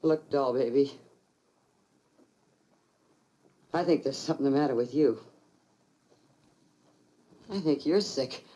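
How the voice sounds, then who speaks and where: A woman's voice: extremely low pitch, normal speed, warm tone. An actress playing a brash woman who talks in slang and coaxes a frightened friend. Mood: suspicious. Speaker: film character. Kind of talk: film drama acting.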